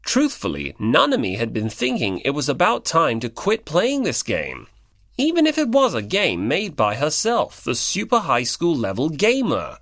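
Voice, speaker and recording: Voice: medium pitch, huge pitch swings, normal speed, a bit bright, no room sound, studio quality. An audiobook narrator reading scripted fiction in the third person.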